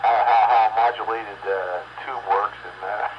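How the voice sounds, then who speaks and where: Halting man on a noisy radio link. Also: lowish voice, steady tone, lo-fi sound. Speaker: amateur radio operator. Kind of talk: casual radio chat. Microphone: stock radio microphone. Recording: noisy ham radio transmission with static.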